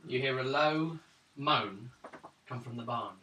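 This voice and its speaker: A man's voice: mid-range voice, crowd noise. Man narrating as game master, roomy and distant. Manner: measured descriptive narration. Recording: roomy, distant, noisy table recording.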